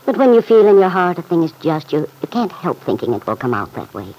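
Homespun aunt for vintage radio drama: measured, gently prodding, kind but canny, very animated intonation. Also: female voice, lowish voice, low-quality recording. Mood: kindly encouraging. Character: kindly, shrewd aunt, gently persuasive family friend. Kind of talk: old-time radio soap opera acting.

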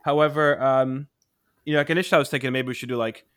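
A man's voice: mid-range voice, steady tone, quick pace, a bit bright, no echo. Middle-aged guy cohosting a podcast, rambly, lots of ums, dry close sound. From Poland. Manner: rambling, many fillers and restarts. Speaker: podcast co-host. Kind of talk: casual chat.

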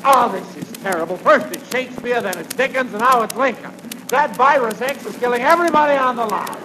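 An irritable, none-too-bright studio boss in 1940s radio comedy style. His voice is high for a man and he barks brusquely. The old broadcast recording is clearly noisy. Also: fairly quick. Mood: exasperated. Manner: brusque, blustering, exasperated. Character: blustering, irritable, dim-witted male Hollywood film producer.

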